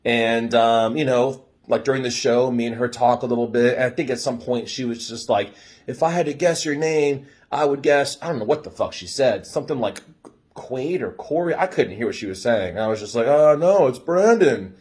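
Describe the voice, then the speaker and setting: A young American man casually telling a story in an animated way, swearing freely and acting out dialogue. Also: mid-range voice, moderate pace. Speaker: storyteller giving dating advice.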